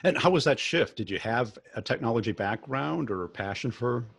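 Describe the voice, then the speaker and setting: A man hosting a podcast, with a gravelly, husky voice and dry, close sound. He talks casually and jokes easily with his guest. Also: mid-range voice, expressive, no echo. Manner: casual, joking, quick interjections. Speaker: podcast host. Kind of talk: interview conversation.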